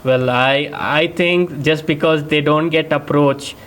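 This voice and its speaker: Indian guy coach on a casual call, slightly high voice, keeps repeating and checking you agree. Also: steady tone, takes it slowly, a bit bright. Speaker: dating coach, host. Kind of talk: casual advice call, mixing English and Hindi.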